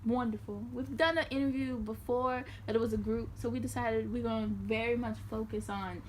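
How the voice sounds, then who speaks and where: A woman's voice: on the high side, steady tone, a little fast. A young woman hosting a casual interview, easygoing and chatty, with frequent ums. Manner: easygoing, laughs often, says "um" a lot. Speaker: host. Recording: faint background noise.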